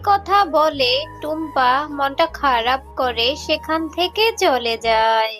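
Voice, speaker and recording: Female story narrator: high-pitched, bright, scripted delivery over music. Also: expressive, slow.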